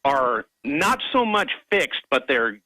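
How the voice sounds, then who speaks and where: A man's voice: medium pitch, big pitch swings, slow pace, bright, forceful tone, no room sound, low-quality recording. A man calling into a radio show, talking in a conversational, slightly hesitant way with frequent ums. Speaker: caller.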